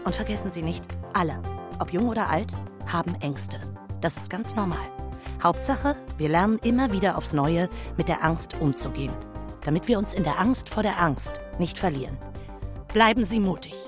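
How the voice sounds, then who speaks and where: Live radio presenter, actress: lively, swinging intonation, earnest and admiring, music underneath, children's drama. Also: female voice, on the low side, normal speed, natural voice. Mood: earnest reporting.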